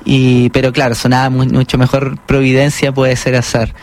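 A man's voice: mid-range voice, monotone, darkish tone. Guy being interviewed, talks in stops and starts, lots of ehs, normal mid voice. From Chile. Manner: halting, many restarts and fillers. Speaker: author guest. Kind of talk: casual interview conversation.

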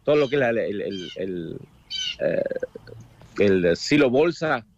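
An Argentine man with an Argentine Spanish accent, a guest talking casually over a video call, halting with many restarts and repeated words. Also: fairly low pitch, expressive, dull audio. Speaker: guest, food business owner in the US. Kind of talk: casual interview chat.

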